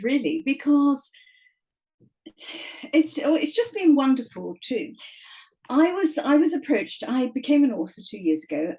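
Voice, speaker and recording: A woman's voice: a little high, mellow, poor audio. Chatty English woman in her seventies. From England. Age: 70s. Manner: chatty and self-deprecating, with filler ums. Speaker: guest author. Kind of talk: casual interview chat. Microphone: remote call.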